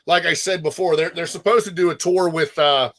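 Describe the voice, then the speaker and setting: A man chatting casually about music as a guest co-host, enthusiastic and slangy, often halting as he gathers his thoughts. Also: a little high, a bit bright, dry recording, clean studio sound. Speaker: guest co-host, metal fan.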